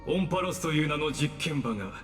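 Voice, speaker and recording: A man's voice: on the high side, expressive, faint music behind, natural voice. Coldly courteous scheming mastermind in a reverberant space, acted by a man. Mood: smug, composed. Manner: formal, polite, measured, condescending. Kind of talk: Japanese anime-style game voice acting.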